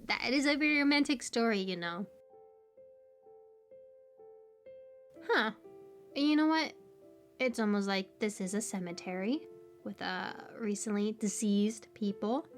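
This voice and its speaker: A woman's voice: on the high side, lightly acted. A woman playing a hyperactive, kooky young stranger in an audio roleplay, rambling and stammering through playful, slangy banter addressed to the listener. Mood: curious, awkward. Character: hyperactive, chatty, kooky young female cemetery stranger, teasing.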